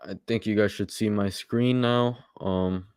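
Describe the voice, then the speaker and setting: Halting, stuttering man with a low voice. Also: steady tone, a little mellow, clean tone, no echo, studio quality. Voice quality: minor stutter. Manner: halting, with repeated words and fillers. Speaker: stream host. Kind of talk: casual livestream commentary.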